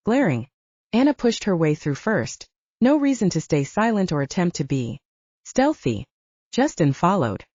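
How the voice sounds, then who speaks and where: Woman narrating fiction, mellow, lively low voice. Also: no echo, muffled recording. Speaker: audiobook narrator.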